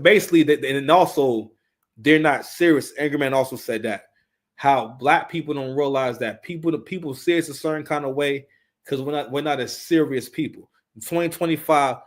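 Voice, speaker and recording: A man in his thirties with a somewhat high-pitched voice hosts a casual live stream. He speaks off the cuff and emphatically, circling back over his phrases and swearing as he goes. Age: 30s. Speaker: live stream host giving commentary. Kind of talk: casual, unscripted opinionated commentary.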